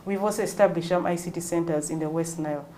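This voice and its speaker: A woman in her early forties with a Ugandan accent, answering interview questions in a measured, explanatory way. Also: lowish voice, fairly even intonation, warm tone. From northwestern Uganda. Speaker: interview guest, non-profit executive director.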